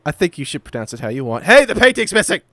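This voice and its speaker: A man's voice: a little high, sing-song, quick pace, pushed and bright, no echo. A man hosting a let's play with casual, playful, wisecracking commentary. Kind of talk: casual joking game commentary.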